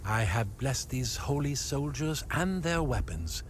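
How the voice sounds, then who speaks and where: Zealous, pompous preaching priest. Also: male voice, medium pitch, sing-song. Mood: solemn, reverent. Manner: solemn, preachy, deliberate. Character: zealous, pompous holy war priest, fanatical and hateful. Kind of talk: video game dialogue, sermonizing. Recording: clear ambient noise behind voice.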